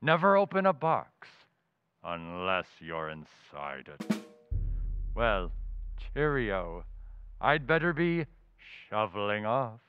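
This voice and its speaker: An actor playing a morbidly genteel undertaker in old-time radio comedy, with grandiose, drawn-out funereal delivery. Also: male voice, on the low side, very animated, muffled recording, faint music behind. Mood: grave, advising.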